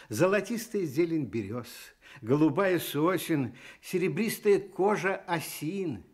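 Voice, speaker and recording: An actor playing a kindly storyteller on a Soviet children's radio show, speaking lyrically and expressively to his young listeners. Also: medium pitch. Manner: expressive, lyrical, addresses child as dear friend. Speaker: storyteller host.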